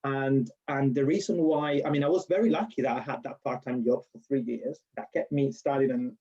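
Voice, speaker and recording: A man's voice: medium pitch, fairly even intonation, fairly quick, mellow, muffled recording. A man from Spain with a strong Spanish accent, chatting in an interview and telling stories with restarts and laughs. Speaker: lecturer being interviewed. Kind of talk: casual interview.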